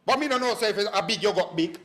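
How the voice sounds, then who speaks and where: Flustered Jamaican husband, animated delivery. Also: male voice, sits high, racing. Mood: indignant. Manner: stammering, exasperated. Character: flustered, evasive married businessman, indignant and panicky. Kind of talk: comedic stage play acting.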